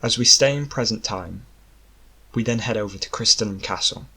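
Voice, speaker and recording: A man narrating a scripted fantasy story as an audiobook, in a deliberate, dramatic storytelling manner. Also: on the low side, pushed and bright, husky.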